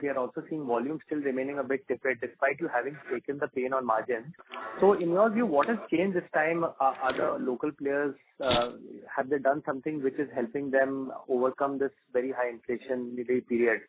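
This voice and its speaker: A man's voice: medium pitch, fairly quick, slight background noise. A man calling in as a financial analyst, asking questions and rephrasing them tentatively as he goes. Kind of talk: questioning on an earnings call. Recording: tinny, narrow phone line.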